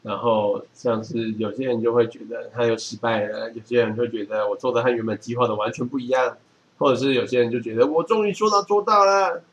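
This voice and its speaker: A man with a Taiwanese Mandarin accent, a podcast co-host, reads aloud and comments casually in an easygoing, measured way. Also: medium pitch, lo-fi sound.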